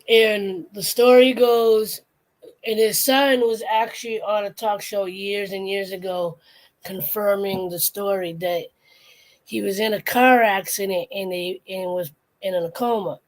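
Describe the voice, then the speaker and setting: Woman co-host, unhurried, rambling storyteller, casual chat. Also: mid-range voice, rough recording. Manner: rambling storyteller, trails off and restarts. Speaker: podcast co-host.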